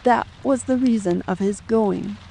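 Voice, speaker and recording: Casting: woman to play distressed protective widow, audiobook drama; unhurried formal delivery, faint rain underneath. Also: medium pitch, vocal fry, warm tone, slight background noise. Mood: anxious, sorrowful. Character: distressed, fearful middle-aged widow, protective mother. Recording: faint rain ambience behind.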